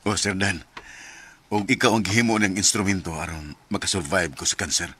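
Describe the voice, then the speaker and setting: A deep, humble, grateful father. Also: steady tone, normal speed, pushed and bright, slight background noise. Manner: humble, deferential, earnest. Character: grateful, humble, devout father recovering from illness. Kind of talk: radio drama acting in Cebuano.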